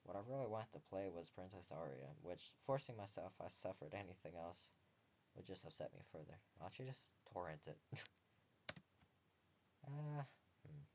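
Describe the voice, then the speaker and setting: A young man with a deep voice reads visual novel text aloud for a gaming video. He delivers it expressively and drops in casual, wry asides. The recording is a little dull and lo-fi. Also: expressive, normal speed, pauses often, darkish tone, slight background noise. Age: young adult. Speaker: let's player reading a visual novel aloud.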